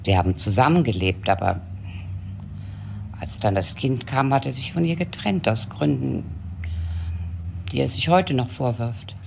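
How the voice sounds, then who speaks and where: Creaky-voiced, discreet household employee. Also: male voice, mid-range voice, a little hiss or hum. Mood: reserved. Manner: reserved, measured answers. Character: discreet, loyal male employee of a wealthy household. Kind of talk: crime drama dialogue.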